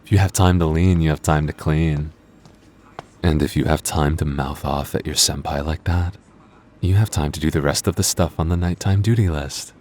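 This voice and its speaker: A man's voice: extremely low pitch, fairly even intonation, normal speed, darkish tone. A man playing a cocky, moody male vampire coworker in an intimate ASMR roleplay, teasing in a dry, flirty way. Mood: dry, bossy. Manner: smug, flirty, dry teasing with sighs and chuckles. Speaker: voice actor in audio roleplay.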